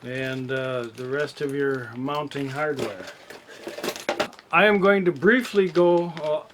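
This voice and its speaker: A man giving a casual how-to narration in a halting, step-by-step way, as an unboxing video presenter. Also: fairly high pitch, a little hiss or hum.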